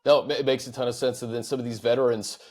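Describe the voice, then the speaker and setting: A man's voice: medium pitch, flat delivery, very fast, a little mellow. An American man hosting a sports talk show in a casual, easygoing, conversational style. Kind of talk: casual sports talk, reading fan questions.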